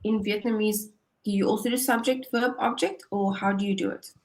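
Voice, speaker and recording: A woman's voice: medium pitch, steady tone, brisk, darkish tone, slight background noise, rough recording. A woman tutoring English over a video call, upbeat and encouraging, often laughing as she explains. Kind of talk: casual conversation lesson.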